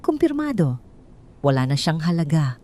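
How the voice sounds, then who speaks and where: A woman narrating a first-person drama in a calm, measured, coolly descriptive way. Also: sits low. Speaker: storyteller.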